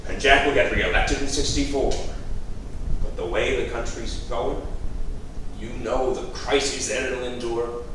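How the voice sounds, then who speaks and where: An actor playing a scheming, self-pitying middle-aged politician in a staged play reading, sardonic and grumbling. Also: male voice, medium pitch, unhurried, noisy background, lightly acted. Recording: echoey room from far off.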